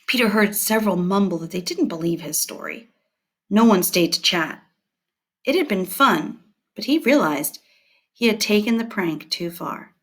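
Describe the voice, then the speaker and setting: A woman teacher reading scripted educational material aloud in a clear, deliberate and expressive way. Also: mid-range voice.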